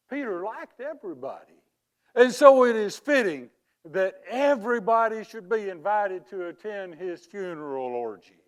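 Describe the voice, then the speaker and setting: An actor playing a smarmy con man who poses as a pious, grieving English uncle, with theatrical, drawn-out oratory in a literary comedy. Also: high voice. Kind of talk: dramatic acting in a literary comedy.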